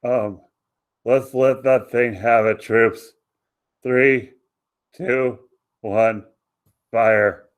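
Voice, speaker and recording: Hapless male soldier played by an actor: very slow, hesitant, deadpan complainer in a radio comedy. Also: medium pitch, clean tone, highs cut off. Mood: half-hearted commanding. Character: deadpan, hapless soldier preoccupied with minor discomforts. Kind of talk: comic radio play acting.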